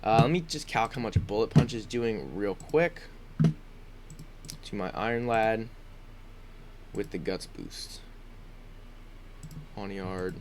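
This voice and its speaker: A man's voice: lowish voice, slow, long pauses, very noisy. A congested man giving casual commentary on his own game, thinking aloud with frequent ums and restarts. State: congested, sniffling. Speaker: gaming YouTuber.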